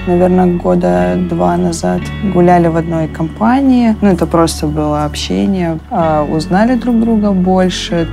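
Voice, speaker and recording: A woman's voice: on the low side, gravelly. A woman in her twenties, a musician, speaking hesitantly with many fillers in a documentary interview. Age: 20s. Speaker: local musician. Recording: music playing under the voice.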